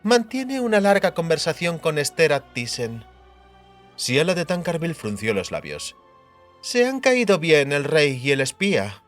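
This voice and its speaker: A man's voice: on the high side, very animated, a little slow, faint music behind. A man reading dramatised, scripted narration as the narrator of an audiobook.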